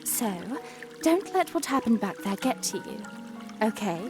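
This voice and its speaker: A woman's voice: a little high, very animated, unhurried, over music. A woman playing a playful young princess in an audio drama, sweet and gracious, with chirpy, polite and formal phrasing. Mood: sweet, tender. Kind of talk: audio drama character acting.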